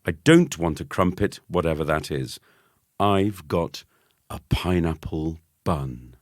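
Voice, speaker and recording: A man's voice: low voice, slow, no echo, clean studio sound. A man voicing a sulky, put-upon schoolboy hero with indignant or forced politeness, in a storybook reading. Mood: irritated.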